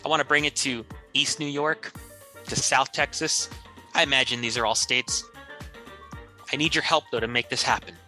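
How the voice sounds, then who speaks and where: A man's voice: mid-range voice, steady tone, normal speed, pauses often, bright, forceful tone, soft background music, voice pushed down. A man playing an earnest, rambling, washed-up small-town car fixer in a mock commercial appeal for money. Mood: earnest pleading.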